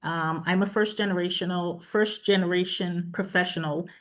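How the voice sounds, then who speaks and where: Woman from Saint Vincent and the Grenadines, panelist, somewhat low voice, halting with fillers. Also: steady tone. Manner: hesitant, many fillers. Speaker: panelist, project manager. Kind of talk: panel self-introduction.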